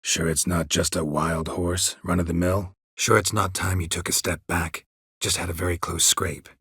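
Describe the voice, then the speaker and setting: A man voicing a gruff, weary monster hunter in a fantasy video game, with a husky rasp and terse, deadpan, sardonic sentences. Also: low voice, moderate pace, dry recording, full character voice. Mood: dry, guarded. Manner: terse, clipped, deadpan sentences with dry sarcasm.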